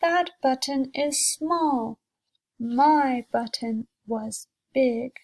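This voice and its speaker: A woman's voice: sits high, very slow, darkish tone, full character voice. Woman reading as a fussy, indignant male toad. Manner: wailing, indignant complaints.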